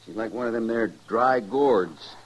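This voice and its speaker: A man acting as a hard, sullen frontier farmer with a middle-pitched voice and rural American accent. He speaks curtly and defensively, in the manner of vintage western radio drama. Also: unhurried, muffled recording, slight background noise, natural voice. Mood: sullen, bitter. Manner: curt, sullen, coarse. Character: hard, defensive, bitter starving homesteader man.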